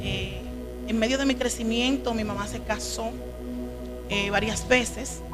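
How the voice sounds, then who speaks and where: Dominican woman, earnest testimony, slightly high voice, background music. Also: steady tone, measured pace. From Dominican Republic. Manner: earnest, emotional storytelling. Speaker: guest, preacher. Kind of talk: testimony interview.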